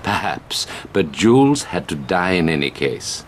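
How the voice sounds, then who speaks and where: An actor playing a suave, calculating British spymaster in a video game, speaking in a measured, formal and wry way. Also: male voice, sits low, very animated, slight background noise. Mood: suave, dry. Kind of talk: video game cutscene acting.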